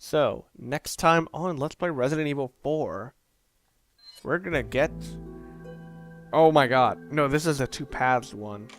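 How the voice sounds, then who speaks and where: A man's voice: medium pitch, measured pace, no room sound. A man giving casual let's play commentary in a rambling, self-deprecating way. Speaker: gaming video creator. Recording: loud game music behind. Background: video game music and sounds.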